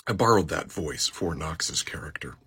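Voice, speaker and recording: A man who narrates audiobooks, talking casually as he explains his voice acting work, with a gravelly, husky voice. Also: a bass voice, expressive, normal speed, a bit bright.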